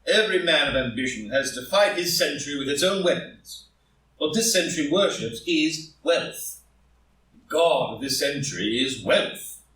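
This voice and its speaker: An echoey, distant recording of a man acting a proud, troubled gentleman in a stage drama. His formal speech is impassioned and fairly high, swinging widely in pitch. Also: expressive, moderate pace. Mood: fervent. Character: anguished, proud, ambitious married gentleman politician. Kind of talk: live group play reading. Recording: roomy echo, far from the mic.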